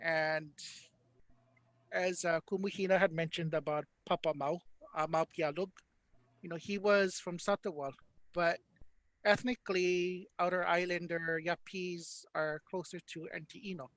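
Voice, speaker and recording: Earnest high-voiced man hosting a panel. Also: steady tone, measured pace, a bit bright, no echo. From Hawaii. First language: Hawaiian. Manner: earnest, thoughtful, with frequent fillers. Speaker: panel host. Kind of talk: panel discussion.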